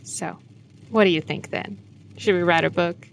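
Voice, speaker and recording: A woman's voice: on the low side, steady tone, normal speed, creaky, low-quality recording. A woman playing an older wife and grieving mother, frank and consoling, in an audio drama. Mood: gentle.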